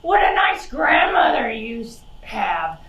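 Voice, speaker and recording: A woman's voice: a little high, sing-song, slow pace, fairly bright tone, raised voice, full character voice. A woman voicing a sly, hungry, mock-polite wolf with a folksy, melodramatic manner in a children's puppet show. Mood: sly, charming. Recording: roomy, distant microphone.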